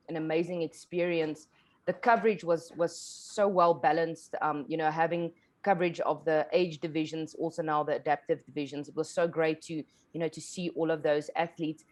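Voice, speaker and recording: Woman host, low voice, upbeat, asks questions with some ums. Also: steady tone, moderate pace, darkish tone. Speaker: podcast host. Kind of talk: interview hosting. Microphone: video call.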